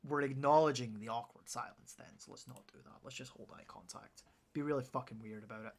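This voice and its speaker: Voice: medium pitch, fairly quick, a little mellow, a little hiss or hum. A streamer giving sarcastic, sweary reactions while playing a video game.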